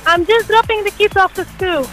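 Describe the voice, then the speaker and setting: A woman's voice: very high-pitched, normal speed, a bit bright, soft background music. A woman in her thirties from Bulgaria, calling in to a radio quiz with short cheerful replies. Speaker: caller. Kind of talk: phone-in quiz answers.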